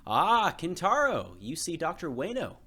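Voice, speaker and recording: A man's voice: a little high, wildly swooping pitch, measured pace, sharp tone, breathy edge, pitched above the performer's own voice, exaggerated voice. A man voicing a boy's father in a children's storybook, addressing his child fondly. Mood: pleased. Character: boy's father, adult university man, fond. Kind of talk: children's storybook character reading.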